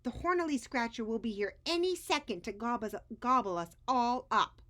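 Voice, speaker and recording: Brave tiny storybook critter, acted by a woman: raised pitch, excited, urgent cries. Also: moderate pace. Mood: urgent rallying. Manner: exclaiming, crying out. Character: brave little shell creature, excited and urgent.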